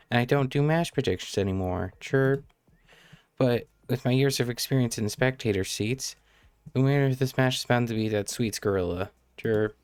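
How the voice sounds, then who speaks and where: A man's voice: mid-range voice, a little mellow, smooth, no echo, natural voice. A man voicing a pompous, grumpy retired old bird from a video game, fussy and chirping between sentences.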